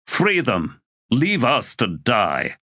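Man reading young warrior, weary, defiant, slow, lively pitch. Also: no echo, studio quality, exaggerated voice.

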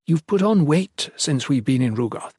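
Man voicing a high-pitched, prim young acolyte. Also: big pitch swings, a little fast, slightly rough, dry recording, clean studio sound. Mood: bluntly prim. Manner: prim, scolding, educated phrasing. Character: fussy young well-bred male acolyte, exasperated and prim. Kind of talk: audiobook character voice.

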